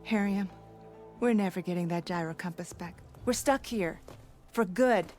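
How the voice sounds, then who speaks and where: A woman's voice: medium pitch, moderate pace, darkish tone, lightly acted. Weary, homesick navigator played by a woman. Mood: despairing. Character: Christia, despondent homesick female navigator, regretful and blunt. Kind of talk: video game cutscene acting. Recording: music behind the dialogue.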